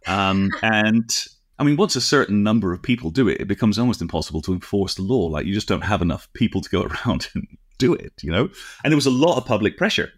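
A middle-aged or older British man co-hosting a casual podcast, explaining things in a halting, discursive way with frequent asides. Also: fairly low pitch, big pitch swings, no room sound, studio quality. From United Kingdom. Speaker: podcast co-host.

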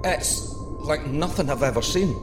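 An actor playing a plucky, excitable male astronaut in a sci-fi audio drama, breezy and cocky between clipped technical reports. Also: fairly high pitch, measured pace, roomy sound, over loud music. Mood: awed, excited. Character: plucky, excitable male astronaut piloting a deep-sea microsub.

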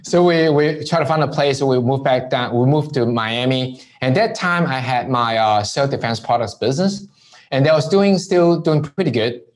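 Hong Kong-raised male entrepreneur guest, chatty storyteller, keeps asking "right?", mid-pitched voice. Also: normal speed. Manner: relaxed storytelling with frequent "right?" tags. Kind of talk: conversational interview.

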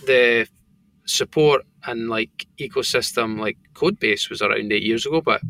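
A man's voice: medium pitch, normal speed, bright, forceful tone. A man with a thick Scottish accent, a company founder talking casually as a podcast guest, rambling with lots of fillers. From Scotland. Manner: rambling, filler-heavy storytelling.

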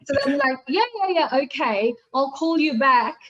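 A woman's voice: high-pitched, moderate pace, muffled recording. Chatty middle-aged woman from Fiji. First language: Hindi. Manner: animated, laughs often, many fillers and restarts. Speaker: podcast guest, salesperson. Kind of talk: casual interview chat.

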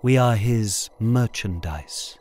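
A man voicing a bitter, solemn male captive leader who speaks slowly and quietly. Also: on the low side, soft background music.

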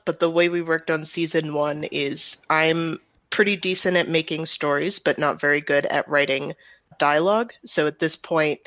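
Creaky-voiced woman in interview. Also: low voice, fairly bright tone, dry recording. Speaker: audio drama writer and director, guest. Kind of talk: conversational interview answers. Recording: slightly dull, band-limited close recording.

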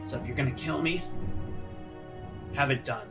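A man's voice: medium pitch, normal speed, vocal fry, natural voice. A man voicing a battered small pug prisoner who is weary and blunt, in a tabletop game. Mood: urgent, resigned. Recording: music under the voice.

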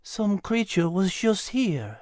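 Woman voicing a coy, slow-talking French she-cat. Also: medium pitch, very animated, darkish tone, no room sound, studio quality, voice pushed up. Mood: curious. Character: coy, clever female French cat with botanical expertise.

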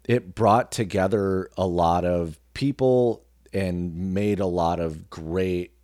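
A man speaking as an interview guest, reflecting in a thoughtful, measured way and picking his words haltingly. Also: low voice, mellow, no room sound, studio quality. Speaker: guest, actor and comedian.

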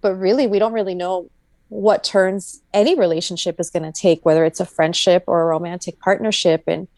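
A woman's voice: lowish voice, fairly even intonation, fairly quick, darkish tone, dry recording. A woman in a casual, reflective chat, speaking earnestly and informally as she thinks aloud.

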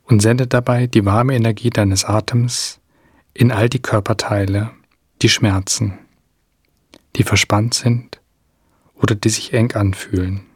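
A man narrating a guided meditation in a calm, soothing, measured way with long pauses, his voice soft and slightly husky. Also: on the low side, no echo, studio quality.

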